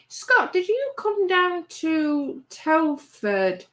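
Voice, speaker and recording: A woman's voice: very high-pitched, sing-song, very slow, a bit bright. A woman hosting a casual livestream, reading out chat messages and teasing, often trailing off mid-sentence.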